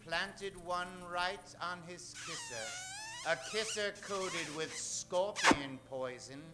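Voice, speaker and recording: A man's voice: high-pitched, wildly swooping pitch, takes it slowly, noisy background. A man narrating a comic old-time radio serial as host, in a dramatic, mock-serious manner.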